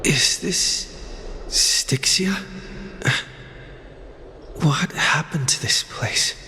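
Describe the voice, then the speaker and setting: An actor voicing a lone, wary young hero in a video game, speaking in a hushed, halting way. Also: medium pitch, lively intonation, full character voice. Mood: uneasy, searching. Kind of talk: video game story voice acting. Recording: long reverb, faint background noise.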